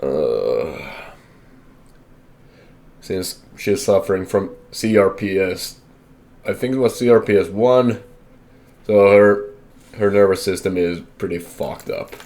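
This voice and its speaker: Deep-voiced young man, halting and candid. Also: animated, darkish tone. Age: young adult. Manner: halting, hesitant, self-correcting, candid. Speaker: vlogger. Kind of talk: personal life update.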